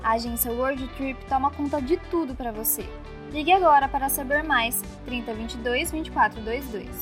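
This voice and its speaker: A woman's voice: high-pitched, moderate pace. A woman with a Brazilian accent announcing a scripted radio advert. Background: music.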